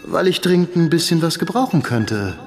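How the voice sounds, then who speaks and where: A man's voice: on the high side, sing-song, normal speed. A man playing a needling former editor who cadges money in a radio drama, chatty and pointed, with music behind. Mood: wheedling, needling. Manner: chatty, wheedling, pointed questions. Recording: music bed under the voice.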